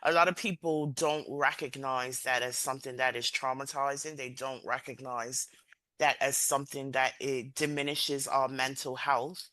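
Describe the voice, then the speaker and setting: A woman's voice: a bass voice, steady tone, normal speed, bright, forceful tone, no echo. A woman hosting a podcast, talking conversationally and reflectively with emphasis as she interviews a guest. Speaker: podcast host.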